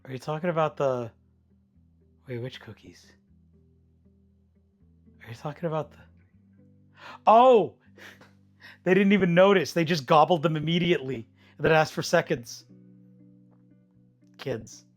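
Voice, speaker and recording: A slightly hoarse man chatting casually with viewers while cooking in his home kitchen, rambling in an easygoing way and chuckling often. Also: fairly high pitch, animated, a little slow, pauses often, soft background music. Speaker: streamer.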